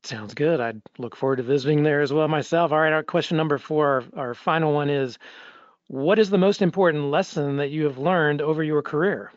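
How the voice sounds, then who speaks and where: A podcast host with a fairly high voice reads announcements and closes the show in an upbeat, promotional tone. The recording is dry and a little dull. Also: male voice, brisk, no room sound. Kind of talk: podcast hosting and sign-off announcements. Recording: dry, slightly dull audio.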